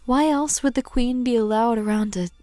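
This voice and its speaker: A woman acting a serious, skeptical delegate in a fan dub, speaking in a measured, frank manner. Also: fairly high pitch, mellow, no room sound, faint music behind, natural voice.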